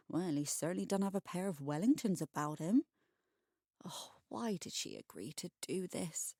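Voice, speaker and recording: A woman narrating a scripted bedtime short story for adults, expressive and wry. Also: mid-range voice, dry recording, clean studio sound.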